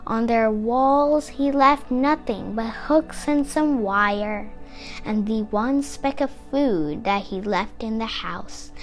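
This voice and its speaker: Very slow, dramatic woman storyteller. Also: fairly high pitch, fairly bright tone. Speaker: storybook reader. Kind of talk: expressive children's read-aloud of rhyming story.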